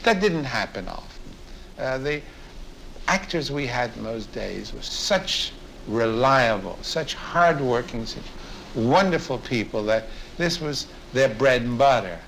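An older man, a radio veteran, reminiscing in an interview with deliberate, emphatic repetition. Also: mid-range voice, expressive, vocal fry, fairly bright tone, slight background noise, poor audio.

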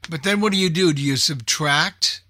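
Guy hosting a chatty radio show, bright slightly high voice, kinda hesitant, thinks out loud. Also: moderate pace. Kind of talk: casual group chat.

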